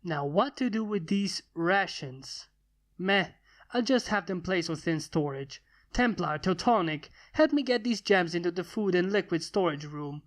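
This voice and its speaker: Narrator playing eccentric male inventor, storybook character, formal, pompous, gruff, wry muttering. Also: medium pitch, animated, a little slow, close to an everyday voice. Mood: musing.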